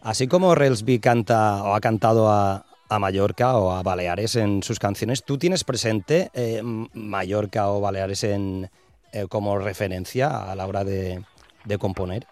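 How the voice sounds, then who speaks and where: A man interviewing a musician, asking measured questions broken by fillers. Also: on the low side, darkish tone, no room sound.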